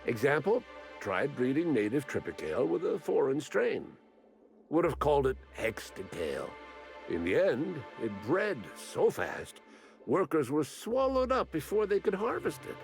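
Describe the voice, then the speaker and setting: Crotchety old botanist, wildly animated and gravelly. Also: male voice, a little high, unhurried, faint music behind, full character voice. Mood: matter-of-fact, wry. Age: older. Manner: grumbling, rambling, theatrical. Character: crotchety, eccentric old botanist, rambling and morbidly blunt. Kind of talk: video game character acting.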